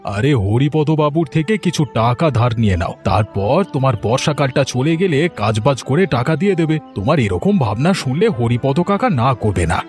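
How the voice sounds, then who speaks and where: Village buddy for a cartoon , male actor: lowered voice, easygoing, inquisitive, reassuring, faint music beneath. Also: very animated, moderate pace, lightly acted. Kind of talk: cartoon story voice acting.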